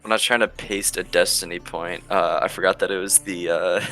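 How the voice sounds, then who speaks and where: A man with a gravelly voice chatting casually as a player in a tabletop roleplaying game. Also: deep voice, steady tone, brisk, pushed and bright, faint music behind.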